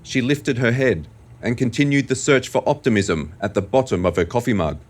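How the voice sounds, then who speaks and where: A man narrating an audio drama in a measured, deliberate, scripted storytelling style. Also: mid-range voice.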